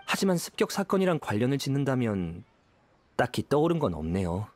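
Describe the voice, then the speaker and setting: Animated young detective clerk. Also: male voice, medium pitch, a little fast. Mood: explanatory, polite. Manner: polite, animated, chatty. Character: friendly, eager young male detective agency clerk. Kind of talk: anime game voice acting. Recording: music behind the voice.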